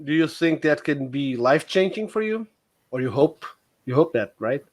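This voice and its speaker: A man's voice: fairly high pitch, lively intonation, moderate pace. A man from Portugal with a Portuguese accent, chatting casually as the host of a stream. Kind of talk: casual chat.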